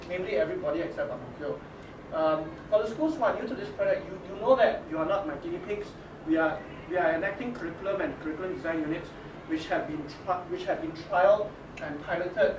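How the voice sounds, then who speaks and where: A high-pitched man with a Singaporean English accent talks his audience through a workshop in stop-start phrases. The room is echoey and noisy, and he sounds set back from the mic. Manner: halting, many restarts and "okay?" checks. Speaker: workshop leader introducing his team. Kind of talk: casual spoken presentation to teachers. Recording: echoey room from a distance with noise.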